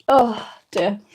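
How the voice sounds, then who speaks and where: Soft, slow-spoken woman in her fifties. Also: on the high side, slightly rough. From United Kingdom. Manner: soft-spoken and hesitant, with many pauses and fillers. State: emotional and a little tearful. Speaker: channel host. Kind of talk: casual live-stream chat with viewers.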